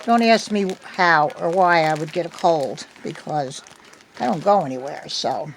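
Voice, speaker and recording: An older woman with a slightly hoarse voice from a cold, chatting at home into her phone in a meandering way, with many ums. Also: sits low, unhurried, slight background noise. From United States. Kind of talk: casual chat. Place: at home indoors.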